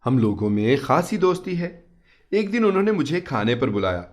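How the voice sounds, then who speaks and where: Male narrator for a scripted moral story, medium pitch, storyteller delivery. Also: sing-song, moderate pace.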